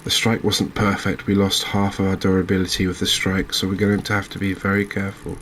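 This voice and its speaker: The deep-voiced man on a late-night cozy gaming channel, murmuring measured, gentle commentary over a bed of background noise. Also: monotone. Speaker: host playing a fishing video game. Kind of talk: soft-spoken ASMR game commentary.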